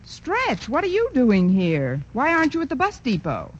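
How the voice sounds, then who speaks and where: Sardonic schoolteacher, kindly, animated, muffled lo-fi, steady hiss. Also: female voice, high voice. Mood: wry. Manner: sardonic, quick with quips. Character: wisecracking schoolteacher, dry and kindly. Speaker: teacher. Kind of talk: 1940s radio sitcom acting. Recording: lo-fi hissy old radio broadcast.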